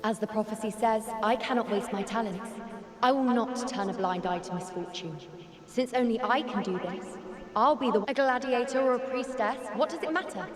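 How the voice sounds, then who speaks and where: An actress voicing a determined, defiant young priestess, earnest and resolute, in a dramatic video game. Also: female voice, fairly high pitch, normal speed, big-room sound, a crowd in the background, natural voice.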